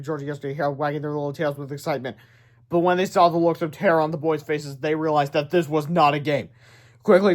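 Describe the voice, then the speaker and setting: A man reading a children's book aloud as storyteller, animated, with casual asides and stumbles over words. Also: on the high side.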